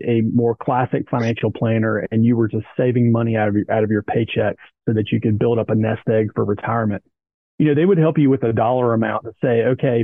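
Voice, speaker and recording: A man, a business advisor and interview guest, explaining finances in a measured way, often saying "you know" and "kind of". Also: mid-range voice, dry recording. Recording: dry tinny phone-like line.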